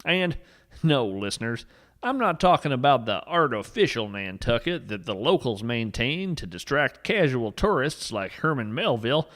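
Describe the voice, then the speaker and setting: A man's voice: fairly high pitch, fairly bright tone. A man playing a folksy, wonder-struck eccentric naturalist, narrating a scripted podcast with dramatic, tongue-in-cheek grandeur. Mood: mysterious relish. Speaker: podcast host. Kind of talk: theatrical scripted storytelling narration.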